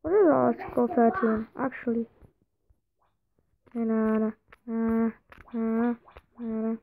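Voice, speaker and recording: A young woman with a fairly high voice drifts through a casual live stream, muttering slowly to herself as she reads the chat. The sound is dull and lo-fi, with faint hiss behind her. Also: steady tone, creaky. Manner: distracted, muttering to herself while reading chat. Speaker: livestreamer. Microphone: phone or device mic.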